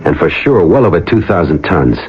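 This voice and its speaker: A man's voice: deep voice, sing-song, a little fast. A male actor playing a hearty ex-Navy salvage diver and narrating in slangy, hard-boiled radio drama style. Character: adventurous Greek-American salvage diver, hearty ex-Navy frogman. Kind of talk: 1980 radio drama acting with first-person narration. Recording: muffled old radio broadcast with music.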